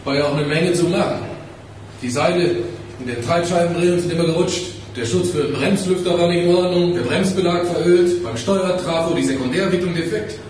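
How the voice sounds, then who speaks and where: A man's voice: fairly high pitch, fairly quick, darkish tone, a little hiss or hum, lightly acted. An actor playing a weary, dutiful elevator repairman in a German radio crime drama. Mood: weary, matter-of-fact. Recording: very echoey shaft, distant, faint ambience.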